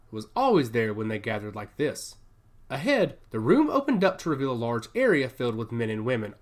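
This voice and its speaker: Audiobook narrator for dystopian fiction, hugely varied intonation, scripted and dramatic delivery. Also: mid-range voice.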